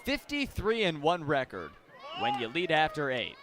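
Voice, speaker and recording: A man calling baseball play-by-play live on the radio in an animated way, with dramatic pauses. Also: a little high, noisy background. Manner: animated, dramatic pauses, swelling on big plays. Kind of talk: live radio sports commentary. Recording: crowd and music behind the commentary. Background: ballpark crowd and stadium music.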